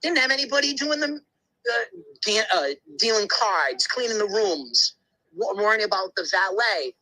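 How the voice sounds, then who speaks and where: A man's voice: sits high, expressive, normal speed, pushed and bright, poor audio. A man talking in a casual podcast conversation, telling things bluntly and emphatically, with many asides and restarts. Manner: blunt, occasional swearing.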